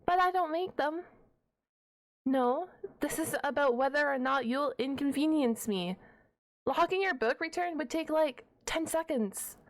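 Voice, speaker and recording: High-pitched, flustered half-dragon librarian played by a woman. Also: animated, measured pace. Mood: stern, officious. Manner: stammering, bookish, wordy, awkwardly defensive. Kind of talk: ASMR roleplay audio drama.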